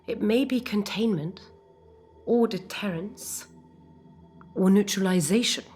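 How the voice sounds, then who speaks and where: A woman playing a calm, commanding heroine who is tender yet menacing, in a measured, solemn dramatic monologue. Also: medium pitch, husky, soft background music. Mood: calm menace.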